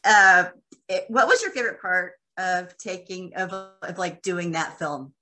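A woman's voice: fairly low pitch, measured pace, pushed and bright, soft background music. A woman from Texas hosting a podcast interview, chatty and gushing, with lots of filler words. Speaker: podcast host. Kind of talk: casual interview chat.

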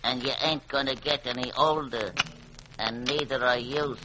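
An actor playing a tough, menacing gangster boss with clipped, slangy threats in an old-time radio detective drama. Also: male voice, medium pitch, steady tone, normal speed, lightly acted, poor audio. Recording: vintage radio recording with faint noise.

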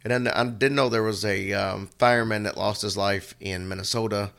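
A man co-hosting a podcast, hoarse and raspy from a cold, talking in an earnest, rambling way. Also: fairly low pitch, fairly even intonation, moderate pace, fairly bright tone. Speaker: podcast co-host. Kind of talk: casual chat.

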